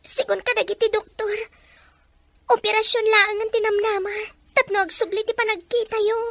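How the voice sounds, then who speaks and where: Very high, bright-voiced young daughter played by an actress. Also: female voice, little pitch movement, normal speed, dry recording. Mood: sad, worried. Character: dutiful young daughter, devoted to her ailing mother. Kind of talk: Ilocano radio drama acting.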